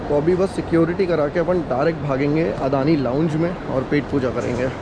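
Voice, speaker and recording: A young man chats upbeat to a camera he holds at arm's length while walking through a big airport terminal. His voice sits a little high. Steady terminal noise runs under everything he says. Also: fairly quick. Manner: chatty, upbeat, walking and talking to a handheld camera. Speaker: travel vlogger. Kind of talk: casual travel vlog narration. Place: large airport terminal, indoors. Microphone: action camera held at arm's length. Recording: noisy terminal ambience.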